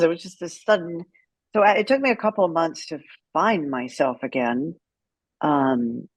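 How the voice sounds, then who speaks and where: A woman's voice: sits low, no echo, highs cut off. A woman speaking as an interview guest, telling her story in a candid, outspoken and emphatic way. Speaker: guest, author and caregiver advocate.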